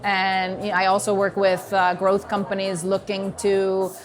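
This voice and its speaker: A woman's voice: mid-range voice, completely flat delivery, moderate pace, crowd noise, rough recording. A woman from New York with an American accent, talking fluently as an interview guest with plenty of "you know" fillers. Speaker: strategy consultant, guest. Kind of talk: conversational interview. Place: busy event venue in a container.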